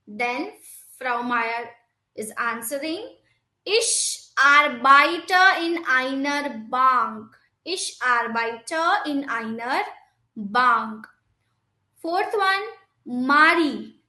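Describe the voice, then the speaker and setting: A woman with an Indian English accent teaching a German class, saying example sentences slowly and then glossing them quickly in English. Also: high voice, pushed and bright, echoey room, rough recording. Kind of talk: language lesson explaining exercises.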